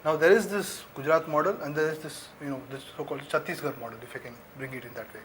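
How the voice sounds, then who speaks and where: Fast, hesitant analyst, a man. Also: on the high side, steady tone, a bit bright, slight background noise. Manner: hesitant, many fillers, analytical. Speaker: panel analyst. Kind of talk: live TV panel commentary.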